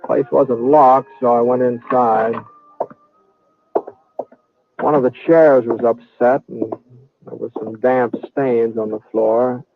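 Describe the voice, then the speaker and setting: An American actor playing a mild-mannered, thoughtful cowboy in an old-time radio western, speaking in a halting, folksy way. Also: male voice, mid-range voice, level tone, vocal fry. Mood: reflective. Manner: hesitant, halting, folksy drawl. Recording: dry, muffled old radio recording.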